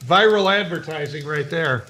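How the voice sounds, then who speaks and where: A man's voice: on the high side, moderate pace, sharp tone. A middle-aged man hosting a podcast, reading offbeat news items and introducing guests in conversational banter. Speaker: podcast host.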